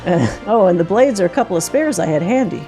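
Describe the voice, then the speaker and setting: A woman's voice: on the low side, expressive, fast, natural voice. A woman voicing a folksy, confident hunter mentor from a fantasy game, with plain rustic phrasing, over music. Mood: easygoing. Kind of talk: reading game dialogue in character.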